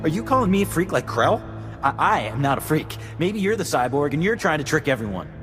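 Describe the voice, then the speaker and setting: An actor playing an indignant, suspicious civilian captive in a video game, agitated and stammering. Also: male voice, a little high, very animated, fairly quick, fairly bright tone, soft background music. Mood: panicked, accusing. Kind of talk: video game cutscene acting.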